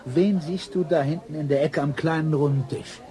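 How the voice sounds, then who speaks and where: An actor playing a pompous, quarrelsome know-it-all at the pub in a radio drama, mocking and theatrical. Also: male voice, on the high side, steady tone, moderate pace, mellow, a faint crowd, close to an everyday voice. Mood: indignant, mocking.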